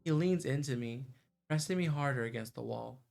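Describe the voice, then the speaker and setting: A man's voice: mid-range voice, brisk, creaky, a little mellow. Man reading game narration over music. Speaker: game streamer. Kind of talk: reading visual novel narration with live reactions.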